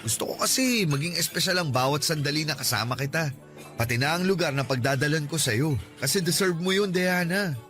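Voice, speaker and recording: A shy, sincere young man who talks softly and earnestly, his voice a touch above the usual pitch for a man. He speaks in a classic radio-drama style. Music runs under his lines. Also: expressive, fairly quick.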